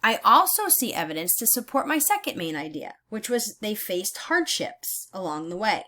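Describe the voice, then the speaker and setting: Female teacher for a read-aloud lesson: bright, forward tone, animated pitch movement, deliberate instructional phrasing.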